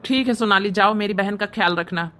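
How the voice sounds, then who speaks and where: A man's voice: high-pitched, a little fast, sharp tone. A man voicing an earnest, emphatic young village brother with an Awadhi accent in a cartoon comedy. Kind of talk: cartoon comedy drama dialogue.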